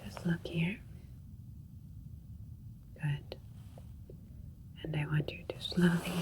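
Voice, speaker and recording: A woman voicing a calm, clinical alien doctor in a sci-fi ASMR roleplay, whispering gently and soothingly. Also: sits low, a little hiss or hum, poor audio. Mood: slow, hypnotic. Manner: gentle, soothing, deliberate, hushed.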